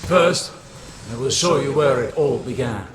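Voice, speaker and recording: A man playing a solemn mystic warlock in a video game, speaking in grave, portentous, measured pronouncements with an echo over music. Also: medium pitch, crowd noise, close to an everyday voice. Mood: grave, grand. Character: solemn male mystic warlock, a copy of an exiled prophet. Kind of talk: dramatic video game cutscene acting. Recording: echoing voice under music.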